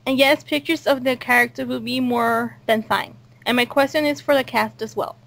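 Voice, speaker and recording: Woman, host, high voice, informal interview talk. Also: fairly even intonation, normal speed, gravelly, fairly bright tone. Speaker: host and audio drama creator. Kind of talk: casual group interview chat.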